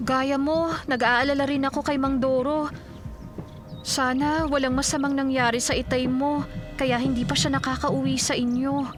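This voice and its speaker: A woman playing a concerned, sympathetic young daughter in a Filipino radio drama, speaking gently. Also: high voice, level tone, moderate pace, over music, close to an everyday voice. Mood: concerned, caring.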